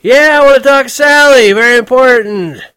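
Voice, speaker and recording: A man voicing a very drunk teenage boy shouting and pleading into a phone. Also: extremely high pitch, moderate pace, smooth, no echo, pitched above the performer's own voice. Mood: drunk yelling.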